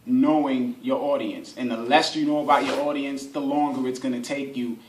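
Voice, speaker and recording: A man's voice: mid-range voice, fairly even intonation, moderate pace. A man giving an informal workshop explanation as an instructor, conversational, repeating phrases for emphasis and acting out hypothetical examples. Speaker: workshop instructor, advertising specialist. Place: indoor room at a radio station. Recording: roomy, echoey workshop recording.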